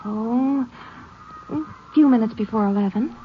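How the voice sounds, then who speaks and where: A woman acting a shy, helpful teenage high-school girl in an old radio drama, hesitant and polite, with an American accent. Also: unhurried, lightly acted. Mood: hesitant, eager. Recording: muffled vintage radio, faint noise.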